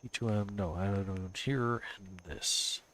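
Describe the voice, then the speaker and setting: A man's voice: fairly low pitch, measured pace, a bit bright. A man with a cold, stuffy and sniffly, casually thinking aloud as he builds in a game on a live stream. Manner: thinks aloud, muttering to himself and chuckling.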